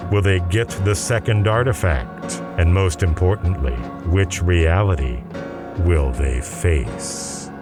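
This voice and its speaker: A male announcer narrates a drama serial in a deep, unhurried voice. The delivery is theatrical and portentous, with prominent music underneath.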